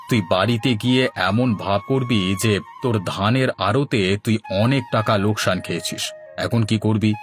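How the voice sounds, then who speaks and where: A man's voice: fairly low pitch, fairly bright tone, close to an everyday voice. A man playing a weary, scheming village husband in a cartoon, speaking in a plain, earnest manner. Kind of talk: Bangla cartoon dialogue acting.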